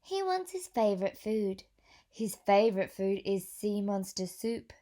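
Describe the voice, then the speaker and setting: A woman teacher reading a picture book aloud to young children, pausing for questions and gentle whispered asides. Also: medium pitch, big pitch swings.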